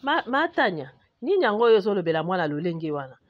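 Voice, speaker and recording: A woman with a Congolese accent playing an indignant, scolding market-trader mother in an animated comedy. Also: medium pitch, fast talker, dry recording, highs cut off.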